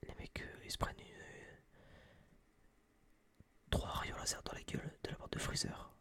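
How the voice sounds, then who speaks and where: A young man whispering close to a binaural microphone in a casual, rambling, blunt ASMR review style, with a breathy, unvoiced voice. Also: moderate pace, bright, forceful tone. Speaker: ASMR channel host reviewing a film.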